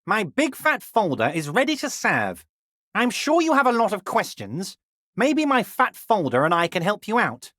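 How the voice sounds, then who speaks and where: An actor playing a scheming middle-aged union boss who flatters in a smug, drawn-out way, in a video game. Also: male voice, high-pitched, dry recording, studio quality, heavily acted. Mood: oily flattering. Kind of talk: video game character dialogue.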